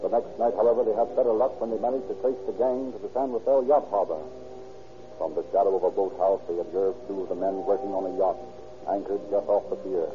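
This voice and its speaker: A man with an American accent narrating a vintage radio crime drama in a sober, measured, formal way, on a muffled old recording. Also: low voice, fairly even intonation, lightly acted. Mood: sober, suspenseful. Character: sober male narrator of police story. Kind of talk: 1940s radio scripted narration.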